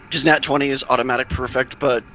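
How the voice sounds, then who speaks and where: Bright, sharp-voiced man playing tabletop games. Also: medium pitch, a little hiss or hum. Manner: explanatory, rules-minded, thinking aloud. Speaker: tabletop game player. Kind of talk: casual game chat.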